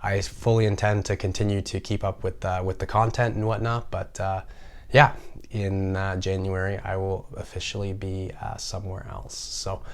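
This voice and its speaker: A man with a slightly raspy voice from a recent cold, talking casually as the host of an informational vlog. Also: low-pitched, completely flat delivery, normal speed. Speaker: personal finance video host.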